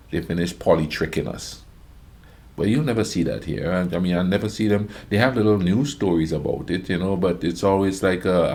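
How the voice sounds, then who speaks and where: Deep-voiced man reacting casually. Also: a little mellow. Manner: emphatic, repeats words for effect. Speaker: host of a reaction channel.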